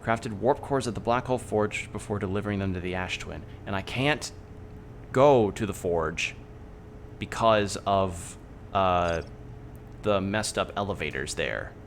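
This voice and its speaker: A man with a fairly low voice talks through his game in an unhurried, soft-spoken way. He muses aloud and hums while he works things out, with faint background noise under him. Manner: thinking aloud, musing with hums. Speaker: streamer. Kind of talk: casual gameplay commentary.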